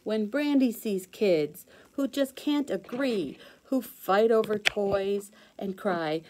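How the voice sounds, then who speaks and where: A woman teacher reading a children's storybook aloud in an expressive sing-song way with clear emphasis. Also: mid-range voice, takes it slowly, a little hiss or hum, rough recording.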